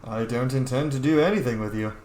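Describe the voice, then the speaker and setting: A man's voice: mid-range voice, quick pace, a little mellow. A man doing casual, in-character commentary as he plays a role-playing video game. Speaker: gamer playing a role-play series. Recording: roomy echo, faint background noise.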